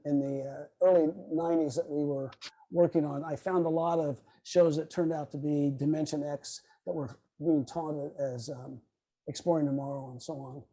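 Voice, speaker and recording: An older man with a fairly high but soft, mellow voice, speaking as a guest in an online meeting. He talks informally and haltingly, wandering through his thoughts and regrouping as he goes. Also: poor audio. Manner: halting, rambling, loses his train of thought. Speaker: guest speaker, radio history author. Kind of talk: informal question-and-answer talk. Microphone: video call microphone.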